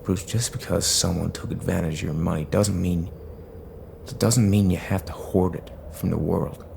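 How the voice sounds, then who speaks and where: A man's voice: deep voice, steady tone, moderate pace, creaky, background noise. A man as an earnest, pleading superhero. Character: earnest, patient, idealistic male superhero. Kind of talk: audio drama acting.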